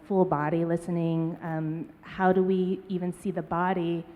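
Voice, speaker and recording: Woman panelist, mellow lowish voice, thinks aloud with many ums. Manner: thoughtful, searching, many ums. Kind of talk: conference panel talk. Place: conference hall. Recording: long reverb of a hall.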